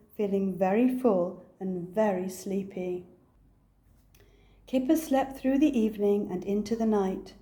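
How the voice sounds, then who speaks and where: An older woman reading a children's picture book aloud as a storyteller, with a deliberate, expressive delivery. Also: mid-range voice.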